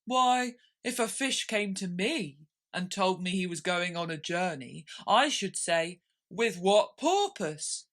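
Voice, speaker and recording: A woman voicing a melancholy, easily offended turtle creature in a storybook, with a slow, drawn-out, plaintive delivery. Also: lowish voice, expressive, fairly bright tone. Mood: surprised, offended.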